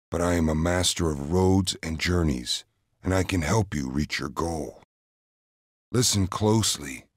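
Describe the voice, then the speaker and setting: A man playing a serene, half-mad monk and ninja in a fantasy game, measured, solemn and portentous. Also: low voice, no room sound, studio quality.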